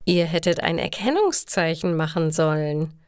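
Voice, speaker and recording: A woman voicing a practical, patient mother in a learner's story, measured and matter-of-fact. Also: deep voice, lively intonation, clean tone, dry recording, clean studio sound.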